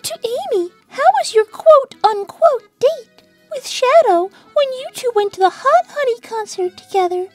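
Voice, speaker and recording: Super high squeaky lil bunny girl, cartoon, swoopy, so sweet and proper. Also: animated, measured pace, soft background music. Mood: prim, cheerful. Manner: prim, polite, childlike. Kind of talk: cartoon character answering fan questions.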